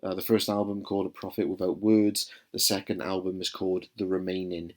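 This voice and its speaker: Welsh man vlogging, low voice, hesitant. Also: flat delivery, a little fast. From South Wales. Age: 30s. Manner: hesitant, many ums and uhs, friendly and earnest. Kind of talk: casual talking-to-camera vlog.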